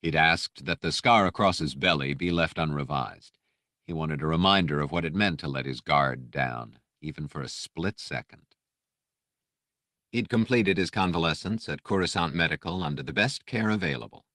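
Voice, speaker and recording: A man reading a scripted audiobook story with measured, precise, dramatic narration. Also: extremely low pitch, sharp tone, no echo, clean studio sound.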